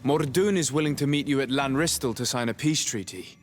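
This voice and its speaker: An actor playing a composed, persuasive diplomat in a video game, calm, formal and direct. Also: male voice, mid-range voice, a little fast, close to an everyday voice. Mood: firm, persuasive. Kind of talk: video game dialogue acting. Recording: clear ambient noise behind the voice.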